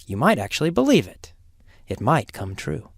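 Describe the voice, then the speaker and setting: An English teacher telling a mini story for learners in a slow, emphatic and theatrical way, often asking the listener questions. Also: mid-range voice, huge pitch swings, vocal fry, slightly rough, dry recording. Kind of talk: mini story lesson narration.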